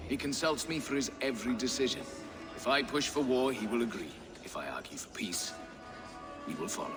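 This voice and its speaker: A man playing a vain, greedy, gruff royal advisor in a video game, pompous and self-important. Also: medium pitch, lively intonation, a little fast, close to an everyday voice. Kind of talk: video game cutscene acting. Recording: reverberant hall under prominent music.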